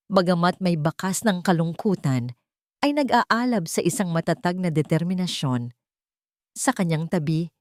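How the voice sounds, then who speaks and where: A woman's voice: lowish voice, a little mellow, no room sound, clean studio sound. A woman reading a scripted, dramatic romance story as its narrator.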